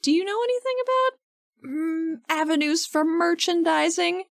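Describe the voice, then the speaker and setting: A woman's voice: very high voice, moderate pace, gravelly, dry recording, clean studio sound, pitched above the performer's own voice. A woman voicing a composed, dryly witty female regulator in a tabletop game, speaking politely. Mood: businesslike.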